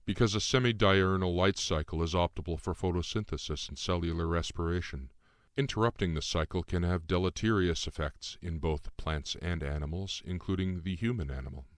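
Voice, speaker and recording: Man voicing a deep, measured, cryptic spirit guide. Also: dry recording, studio quality, lightly acted. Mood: instructive. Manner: measured, formal, explanatory. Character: calm, cryptic shape-shifting guide spirit in male form. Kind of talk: audiobook character dialogue.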